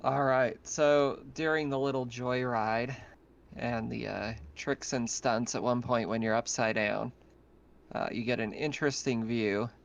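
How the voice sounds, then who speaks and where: A man's voice: medium pitch, fairly even intonation, a little slow, gravelly. A man running a tabletop game as game master, narrating casually over an online voice chat. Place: online voice call. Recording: dull, lo-fi voice chat.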